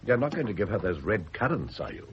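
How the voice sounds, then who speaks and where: Male for urbane, ironic British husband in radio play; low voice, very mobile delivery, self-indulgent wit. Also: big pitch swings, quick pace. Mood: irritated. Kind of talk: classic radio drama acting. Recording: muffled, noisy old radio recording.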